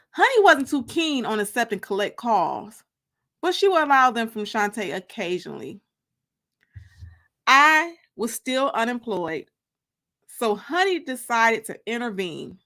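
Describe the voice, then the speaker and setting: Woman reading an audiobook, kinda high voice, very up and down, expressive, stretches out phrase endings. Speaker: author reading her book. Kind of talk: dramatic audiobook narration.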